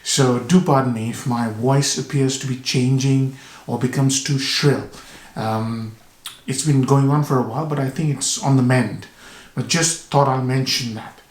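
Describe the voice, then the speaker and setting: A man with a cold, hoarse and with a blocked nose, reviewing a fragrance to camera with enthusiasm, repeating words and pausing for breath. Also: medium pitch, steady tone. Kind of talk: casual product review to camera. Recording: echoey room.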